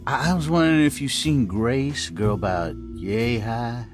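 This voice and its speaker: A man's voice: mid-range voice, a little slow, natural voice. An actor playing a shifty, folksy man in a video game, with a hesitant, wheedling, informal way of talking. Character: shifty, folksy man hunting a runaway girl. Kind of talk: cinematic video game voice acting. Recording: music behind the voice.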